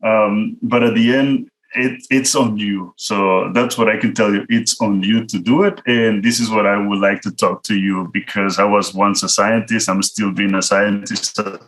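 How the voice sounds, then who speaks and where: A man's voice: fairly low pitch, steady tone, normal speed, few pauses, a bit bright, low-quality recording. A man from El Salvador with a Central American Spanish accent, telling his career story as a guest speaker in a conversational way, speaking directly to listeners. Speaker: guest speaker, product manager. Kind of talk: informal career talk, autobiographical storytelling. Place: online virtual event.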